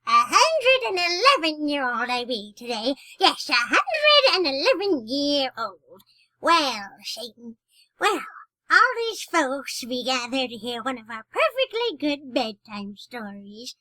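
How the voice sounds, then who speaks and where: Super old cackly witch, bright piercing voice, drags out words, radio horror storyteller. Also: high voice, sing-song, dry recording, heavily acted. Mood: gleeful. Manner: slow, theatrical, cackling. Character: cackling 111-year-old witch, gleeful storyteller of spooky tales.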